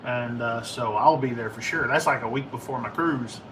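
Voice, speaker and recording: An American man chatting casually to livestream viewers in a rambling, halting, informal way. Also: mid-range voice, recorded from a distance, noisy background. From United States. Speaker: livestream host, ham and CB radio hobbyist. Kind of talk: casual livestream chat answering viewer questions.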